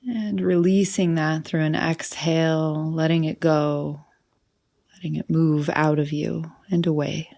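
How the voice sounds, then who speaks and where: A woman leading a guided meditation in a soothing, unhurried manner, often trailing off into breathy softness. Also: deep voice, flat delivery.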